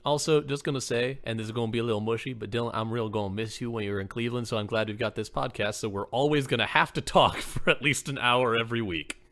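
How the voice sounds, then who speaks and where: A man's voice: mid-range voice, quick pace, few pauses, sharp tone. A man co-hosting a casual podcast, an actor with a voice people call made for radio, talking earnestly and saying "like" often. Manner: earnest, peppers speech with "like".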